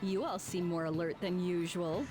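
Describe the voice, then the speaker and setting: A woman's voice: on the low side, steady tone, normal speed, close to an everyday voice. A woman playing a downbeat school teacher in a video game, casual and matter-of-fact. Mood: musing. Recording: music behind the voice.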